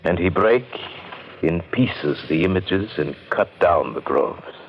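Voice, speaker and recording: An actor playing a haunted, earnest man narrating an old-time radio drama with measured, brooding, halting delivery. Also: deep voice, animated, husky, heavily acted. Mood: grave, resolute. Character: haunted, earnest male narrator resolved to destroy a pagan grove. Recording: dull, band-limited vintage radio recording.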